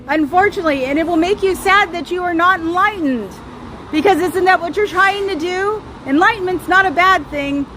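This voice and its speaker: A woman with a high voice hosting a casual livestream outdoors, rambling and sarcastic as she talks straight to her listeners. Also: background noise. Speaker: livestream host.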